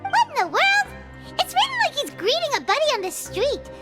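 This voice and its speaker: A woman voicing a tiny, chatty, excitable fairy-like companion in an anime game, squeaky and childlike, exclaiming often. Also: a little fast, exaggerated voice. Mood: excited, puzzled. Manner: refers to self in third person, exclamatory. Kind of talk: English anime game voice acting. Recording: game dialogue over background music.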